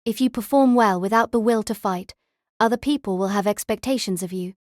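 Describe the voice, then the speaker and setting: Woman as an expressionless, quiet teenage girl. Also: mid-range voice, fairly quick, no echo, clean studio sound, lightly acted. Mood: blunt. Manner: flat, terse, deadpan. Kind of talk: anime-style audio drama acting.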